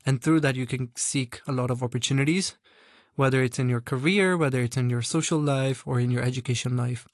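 A young man from Hong Kong, a guest answering interview questions in an earnest, measured way. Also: medium pitch, no echo, studio quality. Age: young adult.

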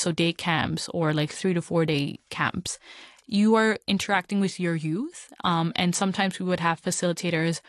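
Lower-pitched young woman, dry sound. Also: dry recording. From Hong Kong. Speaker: interview guest. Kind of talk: conversational, reflective personal account.

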